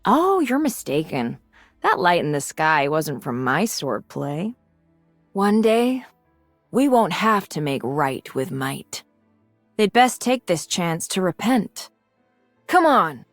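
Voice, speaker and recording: A woman voicing a mature, resolute and idealistic swordswoman in a video game, composed and earnest in her measured delivery. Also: medium pitch, no room sound. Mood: composed, encouraging. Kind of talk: scripted video game character lines.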